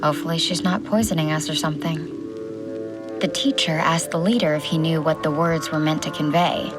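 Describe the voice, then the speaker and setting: A woman's voice: low voice, steady tone, a little fast, close to an everyday voice. An actress playing a young woman doctor who narrates tense events in a scripted first-person audio drama. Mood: observant, uneasy. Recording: studio narration under loud music. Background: loud music.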